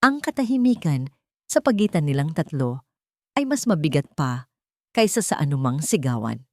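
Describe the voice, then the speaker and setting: A woman's voice: low voice, very animated, moderate pace, no room sound, studio quality. A woman with a Filipino accent reading a dramatic scripted story as its narrator.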